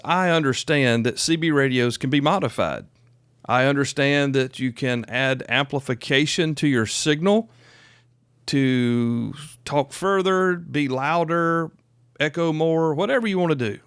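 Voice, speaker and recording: A man's voice: on the high side, fairly bright tone, clear, resonant tone, dry recording. A man in his fifties hosting a solo podcast, talking casually and informally, repeating phrases for emphasis, close on the microphone. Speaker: podcast host.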